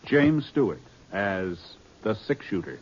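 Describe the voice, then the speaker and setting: A man's voice: lowish voice, big pitch swings, takes it slowly, very noisy. A man formally announcing a radio drama in dramatic, scripted 1950s style. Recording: dull old radio, loud music behind.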